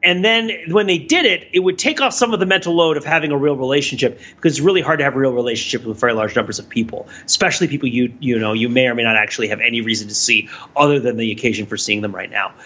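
A man's voice: medium pitch, racing, few pauses, sharp tone, dull audio. A man co-hosting a podcast in casual, analytical chat, thinking aloud in a discursive way. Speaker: podcast co-host.

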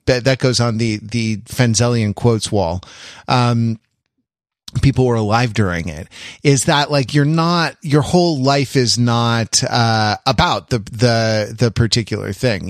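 A man's voice: medium pitch, no echo, clean studio sound. A middle-aged American man chatting analytically on a podcast, digressing and often searching for his words. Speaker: podcast panelist. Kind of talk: casual analytical chat.

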